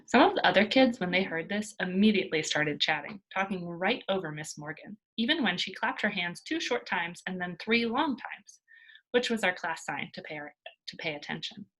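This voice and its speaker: Husky-edged woman reading a story aloud. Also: on the low side, expressive, normal speed, a bit bright, rough recording. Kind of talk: expressive storybook read-aloud.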